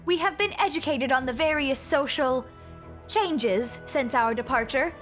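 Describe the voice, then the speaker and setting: A woman's voice: sits high, unhurried, faint music behind, voice pushed up. A woman voicing an earnest, overeager royal pony princess from a cartoon fan story, with formal, archaic, grandiose speech. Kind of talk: cartoon fan fiction character reading.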